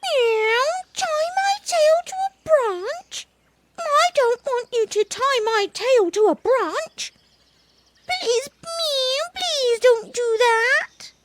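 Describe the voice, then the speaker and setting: A woman's voice: extremely high pitch, lively intonation, drawn-out words, noisy background, voice pushed up, exaggerated voice. A woman voicing a small, eager, whiny baby bush cat in a children's story, meowing between pleas. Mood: anxious, pleading. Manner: meowing and purring between pleading phrases.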